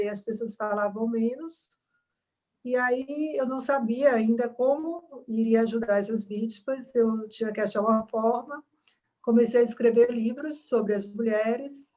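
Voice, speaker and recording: Earnest dermatologist woman, fairly high voice, reflective pauses on a call. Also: steady tone, darkish tone, poor audio. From Salvador, Bahia, Brazil. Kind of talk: speaking on a video call. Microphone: video call.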